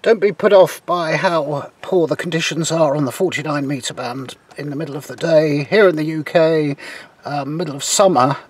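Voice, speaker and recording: A British man in his sixties gives a casual, unscripted practical demonstration outdoors, explaining in a halting way. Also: on the high side, distant mic. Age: 60s. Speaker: presenter of radio antenna demonstration. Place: outdoors in a large grassy garden. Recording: outdoor camcorder, clear background ambient noise.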